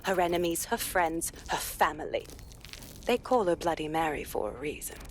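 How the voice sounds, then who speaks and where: A woman acting a stern, low-voiced police inspector. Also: lightly acted. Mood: grave. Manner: grave, measured, resolute. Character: stern, determined female police inspector briefing an operative. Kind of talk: dramatic mission briefing in a video game. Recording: background noise and ambience.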